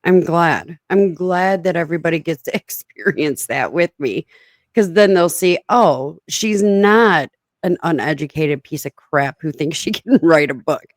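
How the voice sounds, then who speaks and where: A woman's voice: sits low, measured pace, no room sound, clean studio sound. A woman with a smoky voice chatting casually as a podcast host, self-mocking and laughing often. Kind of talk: casual chat.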